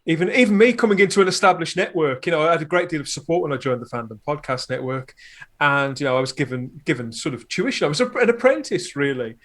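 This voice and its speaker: A man with a slightly higher-pitched voice talks casually on a podcast. He is chatty and rambles along in a jokey, informal way. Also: lively intonation, quick pace, fairly bright tone. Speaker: podcaster, guest on the show.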